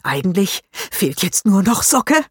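A woman reading aloud as a small, hot-tempered schoolboy who is quick to take offense. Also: mid-range voice, big pitch swings, breathy edge, dry recording, studio quality. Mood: happy.

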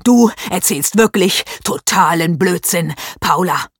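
A woman reading aloud as a small, hot-tempered schoolboy who is quick to take offense. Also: mid-range voice, big pitch swings, breathy edge, no echo, studio quality, heavily acted. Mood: furious.

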